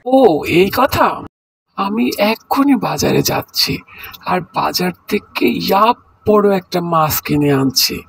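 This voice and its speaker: A man playing a hungry, hapless Brahmin husband in a cartoon, coaxing and complaining in a drawn-out way. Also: high voice, sing-song, creaky, a bit bright, slightly rough, full character voice. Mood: eager. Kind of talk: Bengali cartoon dub.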